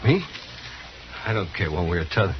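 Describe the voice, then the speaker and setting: Nervous chatty cowpoke, rural western us twang, stammery, lively pitch, muffled old radio drama. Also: male voice, normal speed. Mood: uneasy. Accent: American rural Western. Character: chatty, nervous male saloon drifter, ingratiating coward. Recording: muffled old radio under loud engine noise. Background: loud vehicle engine.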